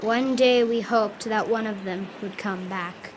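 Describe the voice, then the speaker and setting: A young female performer playing a wistful spirit of the winds, telling of the past in a solemn, measured way. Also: medium pitch, slight background noise, lightly acted, poor audio. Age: child or young performer. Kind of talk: school radio drama acting.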